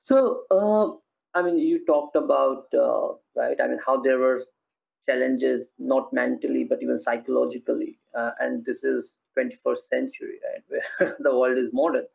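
A man's voice: mid-range voice, fairly even intonation, normal speed, creaky, a little mellow, rough recording. A man hosting an interview over a video call, asking a hesitant, rambling question full of fillers. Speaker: interviewer, host. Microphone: video call webcam.

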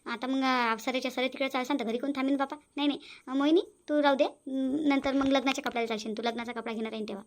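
Casting: woman playing a bossy village mother-in-law, high rapid scolding. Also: fairly even intonation, slight background noise. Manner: fast scolding chatter. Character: scheming nosy middle-aged village mother-in-law. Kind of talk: cartoon voice acting.

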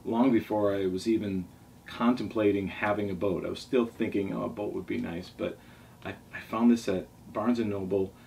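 A man's voice: fairly low pitch, steady tone, normal speed, creaky, warm tone. A man in his forties talking casually and enthusiastically in a rambling way, as a vlog host going through favourites at home. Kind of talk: casual talk reviewing favourite books. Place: small furnished room indoors at a table. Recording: distant mic, noisy room ambience.

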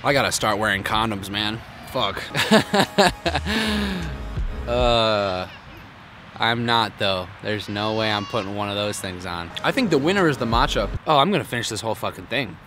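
A man's voice: on the low side, lively intonation, moderate pace. Young guy vlogging in a park, crude and blunt, swears nonstop, music playing behind. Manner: crude, blunt, self-mocking, heavy swearing. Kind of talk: casual vlog talk to camera. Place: outdoors in a city park.

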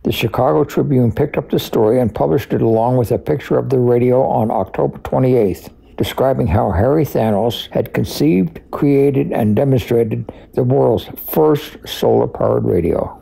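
A man with a raspy voice, a collector giving conversational, scripted narration about technical history. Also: mid-range voice, normal speed, few pauses, dark tone, poor audio. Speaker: radio collector and expert guest.

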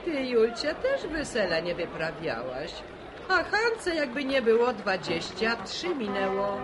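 An actress playing an earthy, teasing middle-aged village wife gossiping in a radio drama. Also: female voice, fairly high pitch, big pitch swings, unhurried, noisy background.

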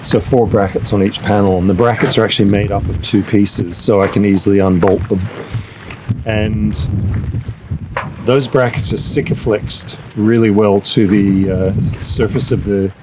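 A man's voice: lowish voice, fairly even intonation, background noise. A man in his fifties explaining, step by step, how he installed his catamaran's solar panels, speaking outdoors on deck. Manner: practical, step-by-step, with filler pauses. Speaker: boat owner and channel host.

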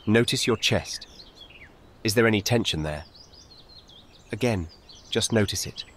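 A man with a fairly low voice leads a guided meditation in a calm, measured way. His short instructions are gentle and soothing. Faint birdsong and outdoor nature sounds sit behind him. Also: slight background noise. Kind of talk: guided meditation, scripted narration.

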